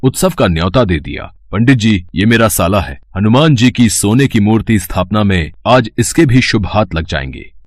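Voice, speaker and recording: A man's voice: on the low side, lively intonation, normal speed, few pauses. A man doing a bossy village chief. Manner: bossy, decisive. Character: middle-aged village chief, commanding.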